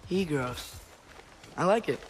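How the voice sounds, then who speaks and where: A man's voice: fairly high pitch, lively intonation, slow pace, a little hiss or hum. A man playing an earnest, curious teenage archer in a video game, with a casual, sincere manner. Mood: curious, pensive. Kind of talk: video game cinematic acting.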